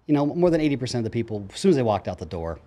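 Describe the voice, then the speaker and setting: A man of about fifty, a podcast guest in a casual interview, telling his story in a blunt, joking, energetic way. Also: mid-range voice. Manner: fast, energetic talker, blunt and jokey, voices his own past quotes. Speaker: podcast guest, coach in self-transformation.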